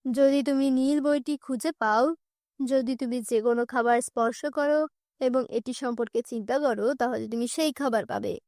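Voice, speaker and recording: A woman voicing a calm, mysterious magic book in a children's fairy-tale cartoon, solemn and reassuring. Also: a little high, moderate pace, no echo, studio quality, close to an everyday voice.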